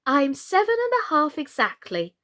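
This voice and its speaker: A woman's voice: sits high, huge pitch swings, normal speed, fairly bright tone, pitched above the performer's own voice, exaggerated voice. A woman voicing an earnest, curious seven-year-old girl in a storybook, with a polite, questioning manner. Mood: earnest, doubtful.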